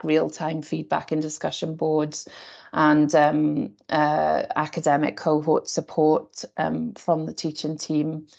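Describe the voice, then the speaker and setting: A woman's voice: low-pitched, creaky, darkish tone. A woman lecturer speaking earnestly on a video call, with frequent fillers. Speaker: programme lecturer. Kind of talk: online open day presentation.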